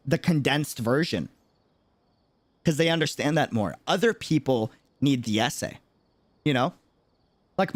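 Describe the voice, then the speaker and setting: A man's voice: mid-range voice, no echo. A man giving casual livestream commentary on a game, talking earnestly and conversationally, explaining things by example.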